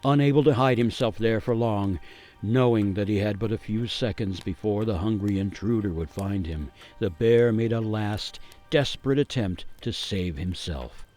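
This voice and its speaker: A man playing a grave gingerbread man, narrating a radio play as a haunted survivor with theatrical suspense and weighty pauses. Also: fairly low pitch, darkish tone, faint music behind, natural voice. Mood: hushed suspense. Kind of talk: dramatic first-person storytelling for a radio play.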